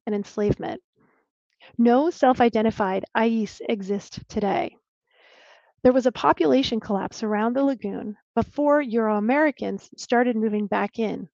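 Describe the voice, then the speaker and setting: A woman's voice: a little high, warm tone, dry recording. Woman archaeologist lecturing over a video call. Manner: clear explanatory lecturing with small restarts. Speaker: archaeologist giving a talk. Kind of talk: presenting a lecture with slides. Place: home room on a video call. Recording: dull, lo-fi dry video call audio.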